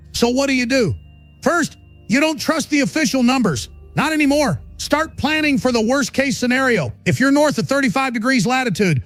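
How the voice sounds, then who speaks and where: Casting: male actor as defiant conspiracy broadcaster, high-pitched, indignant and emphatic, dry studio sound with faint music. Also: a bit bright, no room sound. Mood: urgent, rallying. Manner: emphatic, indignant, repetitive punchy phrases. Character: fiery male conspiracy radio host, defiant truth-teller. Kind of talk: ranting radio monologue in audio drama.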